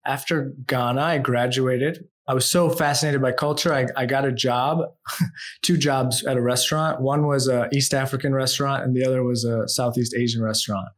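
Relaxed man telling his life story. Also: medium pitch, normal speed. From United States. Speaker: podcast guest. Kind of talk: storytelling in an interview.

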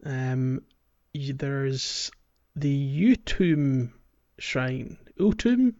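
A man's voice: medium pitch, lively intonation, drawn-out words, warm tone, highs cut off. A man co-hosting a casual podcast, explaining a video game in a hesitant, piece-by-piece way. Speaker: podcast co-host. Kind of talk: casual game walkthrough chat. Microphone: podcast voice recording.

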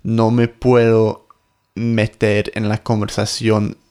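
Measured man speaking a learned language. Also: fairly low pitch, completely flat delivery, darkish tone, smooth. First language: English. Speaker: co-host. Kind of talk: casual podcast chat.

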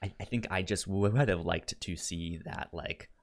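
A man hosting a podcast in casual chat, with a stutter that repeats sounds and makes his delivery halting. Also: deep voice, steady tone, vocal fry. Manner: halting delivery with stuck words. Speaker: podcast host.

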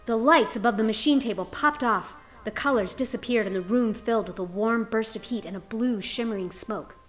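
A woman's voice: a little high, brisk. A woman narrator reading a scripted story in a dramatic storytelling style. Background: music.

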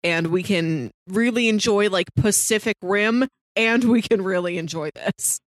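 A female podcast co-host with a mid-pitched voice talks casually about film. She is articulate and reflective, and the recording is dry with very little room sound. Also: unhurried, dry recording.

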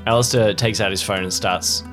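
A man's voice: on the low side, fairly even intonation, brisk, a bit bright, faint music behind. A man playing a tabletop game, casually improvising what his character does. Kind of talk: casual improvised action narration.

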